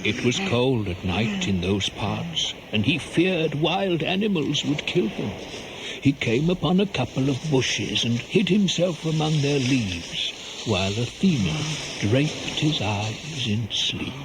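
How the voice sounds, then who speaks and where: A man narrating a scripted radio play in a dramatic, deliberate storytelling manner. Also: medium pitch, expressive, background noise.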